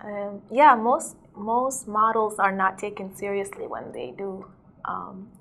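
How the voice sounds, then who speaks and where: A woman's voice: medium pitch, slow, vocal fry, fairly bright tone, a little hiss or hum. A young woman from South Sudan, a DJ and model, chatting casually in an interview, saying "like" a lot and laughing often.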